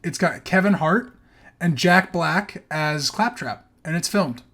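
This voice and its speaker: A man's voice: on the high side, slow, fairly bright tone. A man co-hosting a podcast, running through entertainment news in casual, enthusiastic chat with some easy swearing. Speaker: podcast co-host.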